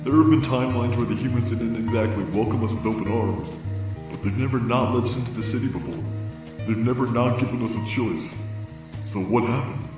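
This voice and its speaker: A man's voice: mid-range voice, brisk, over music, lightly acted. A man voicing an easygoing, wry skeleton older brother with a brooding side, in a fan comic dub. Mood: brooding, reflective. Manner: casual, wry. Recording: echoey, distant mic.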